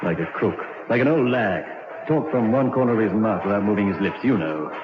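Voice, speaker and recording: Actor as low-voiced condemned man, weary, noisy recording. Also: expressive, fairly quick, lightly acted. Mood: hopeless. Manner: resigned, despairing. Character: condemned innocent male prisoner, weary and resigned. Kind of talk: vintage radio drama acting. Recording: dull, very noisy, echoing old radio recording.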